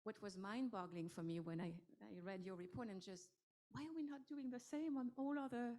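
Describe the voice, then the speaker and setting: A woman's voice: medium pitch, a little fast, mellow. A woman with a French accent speaking as an investor on a panel, hesitant with many fillers and restarts. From France. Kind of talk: panel discussion.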